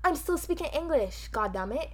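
Barbadian lady chatting fast on a podcast, voice on the higher side, really emphatic. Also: sing-song. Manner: fast-talking, emphatic storytelling. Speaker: podcast co-host. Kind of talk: casual chat.

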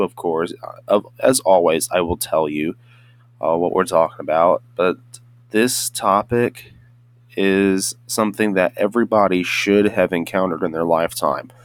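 Very deep, hoarse, sleepy man. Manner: rambling, with many restarts and hesitant fillers. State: hoarse and congested from just waking up. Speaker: podcast host. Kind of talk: casual solo podcast monologue.

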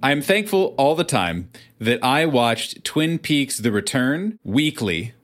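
A man's voice: mid-range voice, sing-song, takes it slowly, fairly bright tone. A man hosting an interview in a casual, conversational way, with long, wandering, thoughtful phrasing. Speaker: interview show host.

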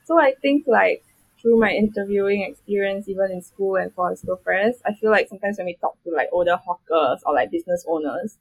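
A woman's voice: medium pitch, fairly quick, slightly rough, low-quality recording. A young woman with a Singaporean accent, a guest chatting casually and filling her speech with "like.". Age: young adult. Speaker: guest, editorial director. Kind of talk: casual chat.

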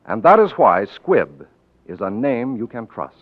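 A tinny, narrow-band old radio recording of a male announcer from the 1940s. His pitch is medium but moves in lively swings. He reads in a formal, earnest, measured manner. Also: very noisy. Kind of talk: 1940s scripted radio announcing and sponsor message.

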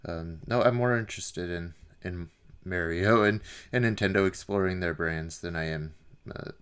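A man's voice: low voice, normal speed, fairly bright tone, smooth. A man co-hosting a casual film podcast, talking in an easygoing, somewhat hesitant way. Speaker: podcast co-host.

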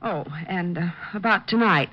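An actress playing an adoring, fussing secretary, gushing and solicitous, in old radio drama style. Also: female voice, lowish voice, moderate pace, natural voice. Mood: anxious, fussing. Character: adoring, fussing female secretary sweet on detective. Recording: dull, noisy vintage radio recording.

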